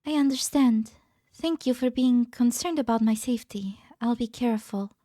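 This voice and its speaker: Casting: actress for gentle, idealistic female scientist, high voice, earnest and formal, game dub. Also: normal speed, no room sound, studio quality, close to an everyday voice. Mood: polite. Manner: soft-spoken, earnest, formal. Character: gentle, elegant, idealistic woman scientist, serenely self-righteous. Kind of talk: scripted anime-game fan dub acting.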